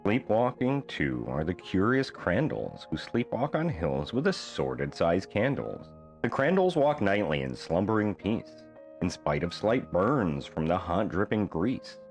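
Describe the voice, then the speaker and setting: A man reading a rhyming children's bedtime book aloud as an expressive storyteller. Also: sits low, measured pace, soft background music.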